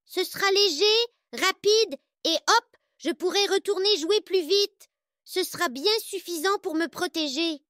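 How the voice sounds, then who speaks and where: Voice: very high voice, measured pace, sharp tone, dry recording, clean studio sound, heavily acted. A performer voicing a small, cheeky, carefree young male piglet in a children's cartoon tale, with a sing-song, boastful, childlike manner. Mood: carefree, pleased.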